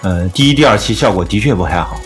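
A man's voice: lowish voice, expressive, quick pace. A man hosting a casual music podcast, talking through his opinions in an informal discussion.

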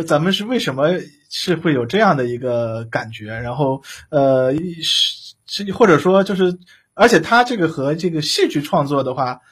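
A man's voice: on the high side, slightly rough. A man hosting a conversational interview, wordily circling his way toward each question. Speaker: interview host.

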